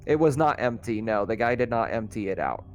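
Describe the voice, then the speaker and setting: A man's voice: on the low side, animated, a little fast, soft background music. A man running a tabletop roleplaying game as game master, narrating off the cuff in a casual, conversational way.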